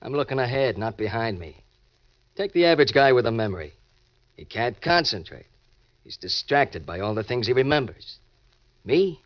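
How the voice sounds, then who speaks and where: An American actor playing a hard-boiled, cocky ex-convict obsessed with money in an old-time radio crime drama, talking in tough slang. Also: male voice, medium pitch, very animated, normal speed, long pauses, natural voice. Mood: defiant, curt. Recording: dull vintage radio recording with music.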